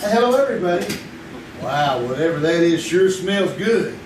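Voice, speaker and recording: A man playing a young man who always needs money, with a high and easygoing voice. He delivers his lines in a wry, teasing way. The sound is echoey theater audio with steady room noise. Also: normal speed, distant mic. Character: young man Greg, broke and scheming for money. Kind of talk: 1950s-style radio drama acted live on stage.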